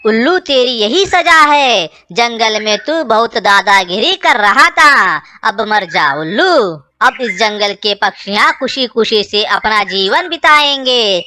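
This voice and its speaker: A high, forceful voice of a woman plays a boastful young male crow in a children's cartoon. The delivery is melodramatic and emphatic, with a bright edge. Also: animated, slow, few pauses, exaggerated voice. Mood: defiant, vengeful. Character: male crow, Kalu, boastful, dramatic young son and husband. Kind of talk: children's cartoon story acting.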